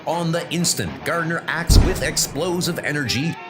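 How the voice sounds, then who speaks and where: A man's voice: a little high, expressive, normal speed, over loud music. A man narrating a comic-book story in a theatrical, emphatic, scripted style.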